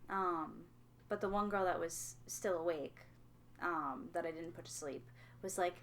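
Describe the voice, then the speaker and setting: A young woman co-hosting a casual podcast, with a soft, soothing voice, telling long, rambling stories full of ums and likes. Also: lowish voice, a little slow, a little hiss or hum. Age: young adult. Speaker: podcast co-host. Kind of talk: casual chat.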